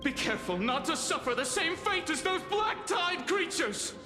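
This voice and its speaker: An actor playing an imperious, driven dead alchemist in a game, declaiming in formal, theatrical phrases. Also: male voice, extremely high pitch, big pitch swings, normal speed. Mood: urgent warning. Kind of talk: scripted game drama acting. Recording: echoey, with game music behind.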